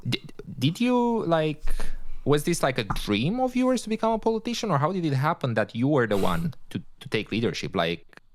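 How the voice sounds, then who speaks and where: Podcast host guy, mid pitch, stumbles through his questions. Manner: halting, searching for words. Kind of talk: interview questions.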